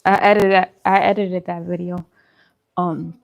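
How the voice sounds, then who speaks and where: Woman, slightly low pitch, casual live-stream chat, informal, chatty food vlogger. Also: flat delivery, unhurried, gravelly, dull audio. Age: adult, parent. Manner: chatty, informal, answers viewers' comments as she goes.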